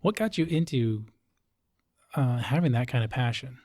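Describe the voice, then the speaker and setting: A man's voice: medium pitch, moderate pace, darkish tone. A middle-aged American man from Hawaii hosting a casual interview in a laid-back, colloquial way with joking asides. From Hawaii, United States. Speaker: interview host.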